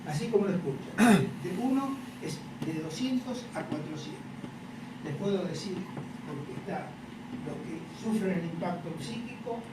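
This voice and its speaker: An older man with an Argentine accent giving a conference lecture as a professor, halting and repeating words, with questioning check-ins. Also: sits high, lively intonation. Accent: Argentine Spanish. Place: large echoey university lecture room. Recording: noisy, echoey hall, distant microphone.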